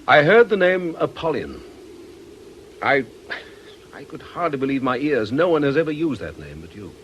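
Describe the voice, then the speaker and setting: An actor playing an anxious middle-aged priest, urgent and earnest, in a radio drama. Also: male voice, mid-range voice, very animated, normal speed, a bit bright, muffled recording, a little hiss or hum. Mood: urgent alarm.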